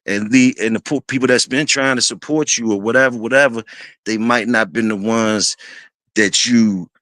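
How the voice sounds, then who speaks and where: A man in his fifties hosting a casual talk, speaking in a laid-back, rambling way with many fillers and restarts, often clearing his throat. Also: mid-range voice, steady tone, moderate pace, a bit bright, no echo. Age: 50s. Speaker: host.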